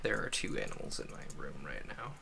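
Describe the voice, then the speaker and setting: A man chatting casually and haltingly on a solo game livestream, rambling with self-deprecating asides. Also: rumbling low, sing-song, creaky, noisy background.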